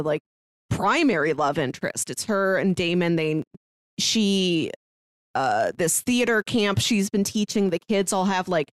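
A woman's voice: mid-range voice, no echo. A woman co-hosting a podcast, recapping and commenting on a film in a casual, conversational style. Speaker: podcast co-host.

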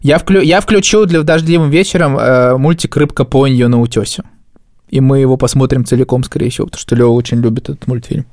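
A man's voice: mid-range voice, fairly even intonation, quick pace, darkish tone, no room sound, clean studio sound. A man in his thirties hosting a podcast, talking in a casual, conversational way. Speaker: podcast host. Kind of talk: casual chat.

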